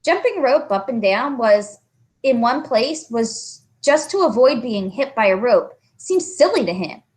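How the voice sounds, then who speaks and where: A woman narrating a children's book aloud, expressive and carefully phrased, as a storyteller. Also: fairly high pitch, unhurried.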